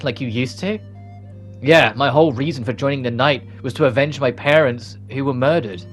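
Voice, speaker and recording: A man's voice: medium pitch, moderate pace, fairly bright tone. A man hosting a let's play, reading story dialogue aloud and adding casual commentary. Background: game music.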